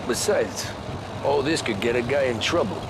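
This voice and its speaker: An actor playing a cautious young male ex-cab driver, speaking in plain, measured tones. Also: medium pitch, animated, natural voice. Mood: calm. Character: level-headed young male ex-cabbie, cautious. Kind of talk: video game cutscene acting. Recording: car and street noise.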